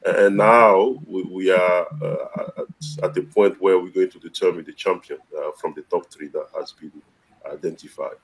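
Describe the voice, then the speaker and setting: A middle-aged man answering interview questions from a home office over a video call, formal and halting with many fillers. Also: sits low, fairly even intonation, low-quality recording. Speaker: business chamber executive guest.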